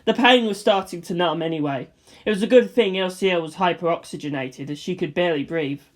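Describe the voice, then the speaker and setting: A woman's voice: lowish voice, brisk. A woman narrator reading fan fiction as dramatic scripted narration.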